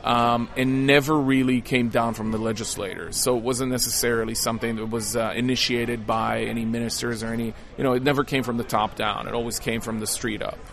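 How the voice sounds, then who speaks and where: A man's voice: medium pitch, level tone, fairly quick, creaky, few pauses, fairly bright tone. A man from Israel speaking as a film director in an interview, giving conversational answers.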